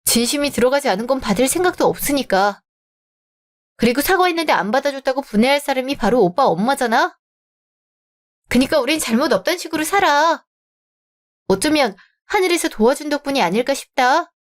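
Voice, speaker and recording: A young woman with a high voice who plays the heroine of a radio drama. She answers in a cool, firm and cutting way and never raises her voice. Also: lively intonation, fairly quick. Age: young adult. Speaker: heroine of the story.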